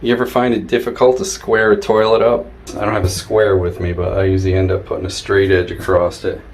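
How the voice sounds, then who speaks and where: A man's voice: low-pitched, little pitch movement, quick pace. A man casually narrating his hands-on repairs in a wry, joking way. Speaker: host, DIY repair vlogger. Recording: clear background noise.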